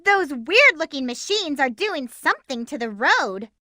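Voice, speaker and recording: Very bright, peppy hedgehog girl. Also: sits high, big pitch swings, a little slow, dry recording, studio quality, exaggerated voice. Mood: confident, instructive. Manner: perky, assertive. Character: peppy, bold, girlish young female hedgehog. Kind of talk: video game character lines.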